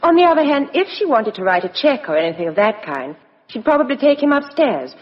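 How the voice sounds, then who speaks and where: An actress playing a cool, outspoken, sharp-minded young Englishwoman in a 1950s radio drama. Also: fairly high pitch, very animated, fairly quick. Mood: speculating. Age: young adult. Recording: muffled vintage radio broadcast, reverberant studio.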